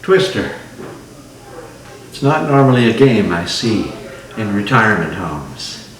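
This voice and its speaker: Canadian male singer-entertainer, slow-paced and joking, heard from a distance in a reverberant room over loud guitar music. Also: on the low side, very animated. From southern Ontario, Canada. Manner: chatty, joking with listeners. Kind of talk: live concert patter to a small audience. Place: retirement home performance room. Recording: very echoey room, distant mic, music behind. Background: acoustic guitar music.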